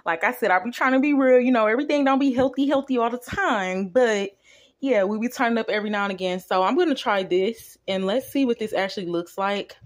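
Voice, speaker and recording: A woman's voice: on the high side, brisk. A woman talking casually and colloquially in a home food vlog, filming her dinner on a phone at a kitchen counter. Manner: chatty, down-to-earth, colloquial. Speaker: vlogger.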